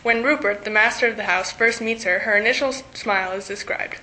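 A woman's voice: mid-range voice, brisk, bright, forceful tone, poor audio. A teenage girl reading a scripted book review aloud. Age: teens. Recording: faint background noise.

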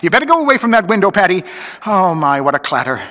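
A man's voice: sits high, sing-song, fast talker, bright, forceful tone, big-room sound, voice pushed up, exaggerated voice. A man voicing a meek, anxious little secretary in a story, pleading earnestly and hesitantly. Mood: alarmed.

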